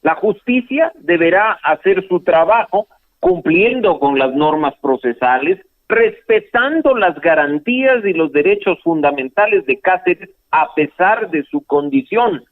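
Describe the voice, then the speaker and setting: A fairly high-voiced man comes through a narrow, tinny phone line. He explains legal matters calmly and at length, with occasional hesitations. The sound is dry and close. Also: a little slow, dry recording. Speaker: lawyer and legal analyst guest. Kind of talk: phone interview on radio. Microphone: telephone line.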